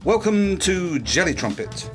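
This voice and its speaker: A man's voice: high-pitched, big pitch swings, measured pace, music in the background. A British man hosting a podcast, delivering a scripted monologue with practical tips. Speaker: podcast host.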